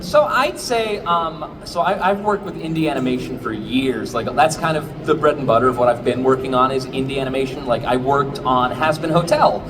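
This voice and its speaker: A man's voice: mid-range voice, normal speed, few pauses. A young man, a voice actor on a convention panel, talking casually with lots of fillers. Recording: noisy convention hall.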